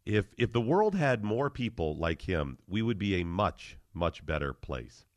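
A male talk radio host with a fairly low voice speaks in a studio. He is effusive and tends to repeat his words as he starts each thought. The sound is dry and close to the mic. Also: sing-song, measured pace, dry recording.

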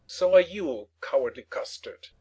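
Man playing a blustering, husky-voiced storybook father. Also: a little high, animated, a little slow, mellow. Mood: indignant, sulky. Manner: theatrical, indignant, emphatic. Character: blustering, self-pitying father, petulant and pompous. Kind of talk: dramatized storybook reading.

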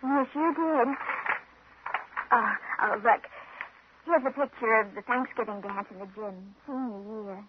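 A woman's voice: fairly high pitch, measured pace, long pauses, natural voice. An actress plays a friendly young single woman in a 1950s radio drama. She is chatty and informal, with a wistful, slightly nervous edge. The sound is dull and noisy, like an aged broadcast. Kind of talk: 1950s radio thriller acting. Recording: muffled, noisy old radio recording.

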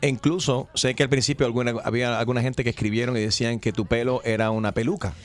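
A man's voice: mid-range voice, fairly even intonation, fast talker. Chatty talk-show host, a man. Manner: chatty, asks warm leading questions with hesitant fillers. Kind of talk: casual talk-show interview.

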